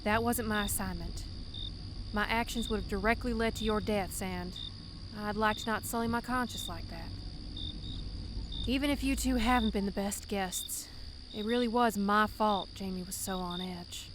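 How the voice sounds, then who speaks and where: A woman's voice: medium pitch, normal speed, background noise, natural voice. A woman playing a sincere, apologetic defector in an audio drama, speaking earnestly and with some hesitation. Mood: earnest, reflective. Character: conscientious female defector, apologetic, sincere, gentle.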